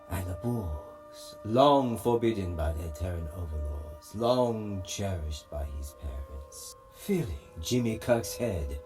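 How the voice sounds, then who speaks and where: A man playing a calm, grave Vulcan alien mind-reader in an audio comic, speaking in a measured, solemn, hushed way. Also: fairly low pitch, far from the mic. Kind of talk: dramatic audio comic storytelling.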